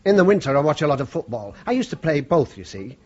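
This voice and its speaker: An easygoing British working man in his sixties. His voice sits a little high and moves up and down freely, polite and self-deprecating. The radio play recording is dull and noisy. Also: very animated, fast talker, a little mellow. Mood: chatty, easygoing. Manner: deferential, hesitant, conversational.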